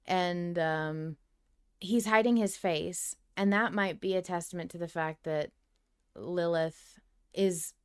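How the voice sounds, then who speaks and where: Low-pitched woman in casual podcast chat. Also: fairly even intonation, slow, clean tone. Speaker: podcast co-host. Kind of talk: casual fan theorizing discussion.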